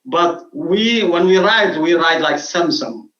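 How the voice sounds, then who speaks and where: A man's voice: on the high side, expressive, takes it slowly, a bit bright. Male teacher, hesitant delivery, roomy and dull audio. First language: Somali. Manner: hesitant, many fillers. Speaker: guest language instructor. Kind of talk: explanatory conversation.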